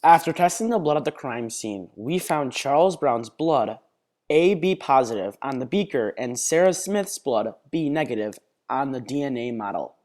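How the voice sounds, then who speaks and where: A man's voice: medium pitch, lively intonation, normal speed, a little mellow, no room sound, clean studio sound. A young man reading scripted narration for a student crime dramatization.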